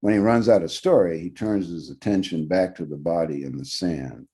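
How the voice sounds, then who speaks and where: A man with a very low, dark and mellow voice reads a short story. He narrates in the first person like an actor on stage, measured and dramatic, letting phrases linger.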